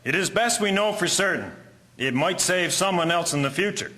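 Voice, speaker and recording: Radio drama role: doubting, methodical period inspector, male actor, sharp highish voice. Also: animated, moderate pace. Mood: suspicious, firm. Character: suspicious, methodical male health inspector, early 1900s. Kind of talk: scripted radio-drama acting.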